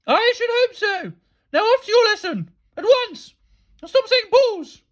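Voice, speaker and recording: A woman voicing a stern storybook headmistress, shouting commands imperiously. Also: very high voice, animated, measured pace, voice pushed up. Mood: stern, irate.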